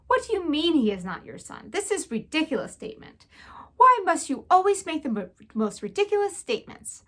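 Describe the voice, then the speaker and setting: A woman's voice: high voice, very animated, fairly quick, fairly bright tone, voice pushed up. A woman voicing a haughty mother mouse with a French accent and dismissive, theatrical talk. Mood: indignant. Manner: dismissive, theatrical, broken grammar.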